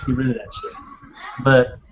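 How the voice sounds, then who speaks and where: A blunt, crude man chatting casually and profanely as a podcast panelist. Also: normal speed. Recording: muffled lo-fi audio with faint noise.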